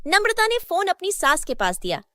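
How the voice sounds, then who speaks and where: A woman narrating a scripted moral story in a steady storytelling manner. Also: very high-pitched, moderate pace, no room sound, clean studio sound.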